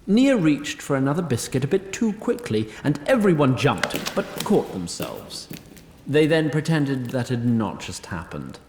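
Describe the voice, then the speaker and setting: Male narrator, lively varied intonation, wry literary storytelling, theatrical, echoey sound. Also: medium pitch, unhurried, a little hiss or hum.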